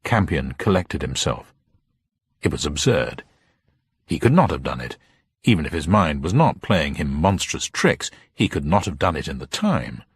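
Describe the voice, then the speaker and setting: A man narrating a detective story as a scripted, dramatic reading, with a husky voice. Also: a bass voice, very animated, moderate pace, no room sound, clean studio sound.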